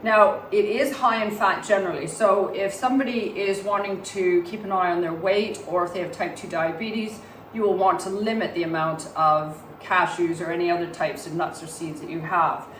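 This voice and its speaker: A woman with a touch of a cold speaks in a low, slightly hoarse voice as she calmly walks through a recipe. She sounds a little far from the mic in a roomy home kitchen with a faint hum behind her. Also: moderate pace. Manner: calm, explains health benefits while cooking. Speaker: cooking presenter. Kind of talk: casual cooking demonstration.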